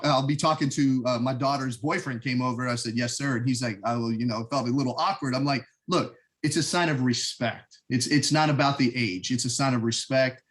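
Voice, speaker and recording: A middle-aged man from the United States speaks earnestly in a relaxed interview, circling back, restarting and filling pauses as he recalls his past. His voice sits at an ordinary pitch for a man. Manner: earnest, rambling with many fillers and restarts. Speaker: podcast guest, veteran. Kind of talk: casual conversation.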